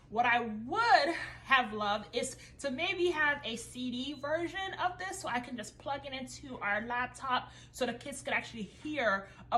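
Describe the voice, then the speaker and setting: Upbeat homeschool mom vlogger, raised in Haiti with French as her first language. Friendly, high and bright. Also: rough recording. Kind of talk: casual curriculum review vlog.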